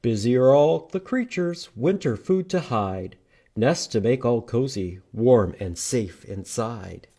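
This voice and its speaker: A man reading aloud to children at a storytime, expressive and inviting them to join in. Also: medium pitch, takes it slowly, husky. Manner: expressive, animated, asks listeners to join in. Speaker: children's storytime reader at a library. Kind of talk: read-aloud storytime with singing and prompts to children.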